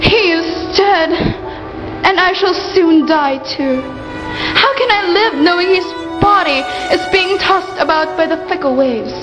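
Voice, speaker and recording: A woman's voice: very high-pitched, animated, measured pace. A woman playing a devoted, despairing wife, tearful and melodramatic, in an amateur radio play. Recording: muffled, long reverb, music behind.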